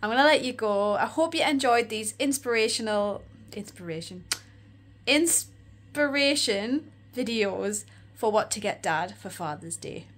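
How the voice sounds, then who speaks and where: A woman in her forties with an Australian accent, chatting and rambling in a casual vlog, her voice croaky and tired. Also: a little high, animated, measured pace, a bit bright. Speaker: vlogger. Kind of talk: casual shopping vlog chat. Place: room with neon lights, indoors.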